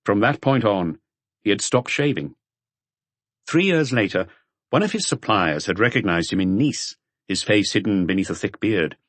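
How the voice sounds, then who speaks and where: A man reading a mystery audiobook as scripted narration, in a measured storytelling manner. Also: fairly low pitch, lively intonation, dry recording.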